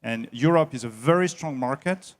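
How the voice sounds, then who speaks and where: A man heading an engineering services company, answering interview questions at a trade show in a slow, halting way with many filler sounds. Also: medium pitch.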